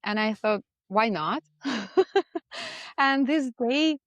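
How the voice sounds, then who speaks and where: A woman's voice: a little high, expressive, extremely slow, no echo. A woman from Russia with a Russian accent, telling her own story as a guest in a casual interview. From Moscow, Russia. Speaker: podcast guest, portrait photographer.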